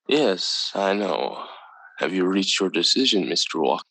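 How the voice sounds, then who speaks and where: An actor playing a calm, menacing alien visitor in a radio drama, speaking in a formal, measured, unhurried way. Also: male voice, low voice, no echo, heavily acted, low-quality recording. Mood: calm, ominous. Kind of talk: old-time radio drama acting.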